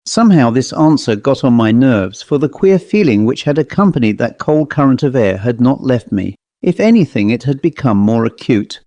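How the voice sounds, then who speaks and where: A man narrates a classic ghost story with a measured, expressive delivery. His voice is mellow and relaxed, with an ordinary male pitch. The sound is dry, with no audible room around it. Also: dry recording. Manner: measured, literary, expressive reading. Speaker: audiobook narrator.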